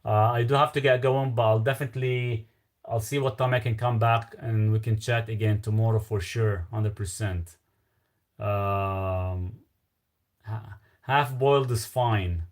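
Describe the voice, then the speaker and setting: A man's voice: on the low side, steady tone, a little slow. A man with a Lebanese accent hosting a casual live stream, reading viewer questions aloud and answering in a loose, rambling way. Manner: loose and rambling, trailing off with fillers.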